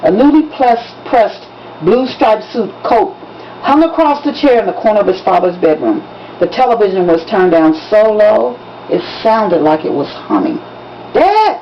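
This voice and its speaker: A woman's voice: medium pitch, big pitch swings, normal speed. Lady author reading out a story, dramatic delivery, kinda muffled audio w some background noise. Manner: dramatic, emphatic storytelling with acted dialogue. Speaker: author reading from their own novel. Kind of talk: expressive audiobook-style narration. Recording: dull lo-fi recording with background noise.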